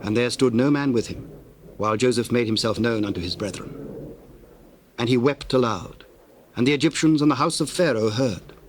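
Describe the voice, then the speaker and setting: A male narrator reads scripture as part of an audio drama. His delivery is measured and formal, with a solemn tone and a medium-pitched voice. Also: slight background noise.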